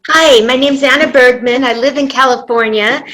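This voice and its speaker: A woman introduces herself with eager, gushing warmth. Her voice sits fairly high, and she clearly delights in the subject. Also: normal speed. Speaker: fan guest. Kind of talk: casual self-introduction.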